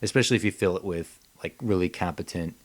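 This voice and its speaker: A man's voice: deep voice, fast. A man co-hosting a podcast in a casual, conversational style. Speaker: podcast co-host.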